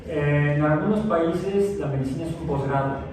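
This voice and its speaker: A man's voice: fairly high pitch, normal speed. A man giving a digressive science lecture in a classroom, full of fillers and restarts. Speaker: science teacher. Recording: echoey room, distant mic, noisy.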